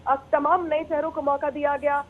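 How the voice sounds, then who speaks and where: A woman news reporter giving a live report in an explanatory, halting way with repeated words. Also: high voice, level tone. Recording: tinny narrow phone line.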